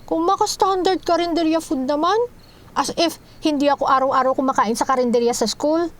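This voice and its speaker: An actress playing a cheeky, teasing Filipino student, the younger sibling in a radio drama. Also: female voice, sits high, fairly even intonation, fairly quick, lightly acted.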